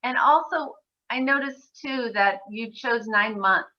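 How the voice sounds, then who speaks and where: A woman's voice: on the high side, measured pace, highs cut off. A woman bookseller co-hosting an event, asking a guest author thoughtful questions over a video call. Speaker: bookseller co-host.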